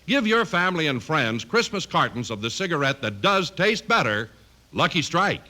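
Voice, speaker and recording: A man's voice: a little high, big pitch swings, normal speed. A man reading 1950s radio advertising and credits as an announcer, with emphatic salesman delivery.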